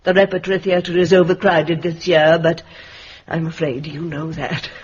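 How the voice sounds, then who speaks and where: A woman's voice: fairly low pitch, little pitch movement, moderate pace, husky. A woman playing an imperious, eccentric old spinster in a radio drama, speaking in a grand, precise, commanding way. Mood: gracious, grand. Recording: dull lo-fi radio drama recording.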